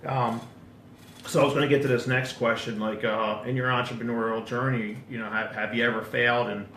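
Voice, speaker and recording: Gravelly, bright-voiced interview host. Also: male voice, mid-range voice, fairly even intonation, fairly quick, reverberant, a little hiss or hum. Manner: repeats words often, lots of fillers. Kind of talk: casual interview.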